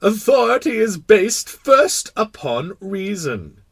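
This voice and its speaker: A man voicing a proud, kindly but pompous king in a storybook, making imperious declarations with drawn-out words. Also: high-pitched, sing-song, breathy edge, dry recording, studio quality, voice pushed up, exaggerated voice. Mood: lofty, reasoning. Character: proud, kindly, pompous absolute monarch of a tiny planet.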